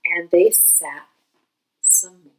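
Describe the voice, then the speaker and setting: A woman teacher reading a children's picture book aloud in an expressive way. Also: on the low side, low-quality recording. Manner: slow, expressive. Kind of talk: children's storybook read-aloud.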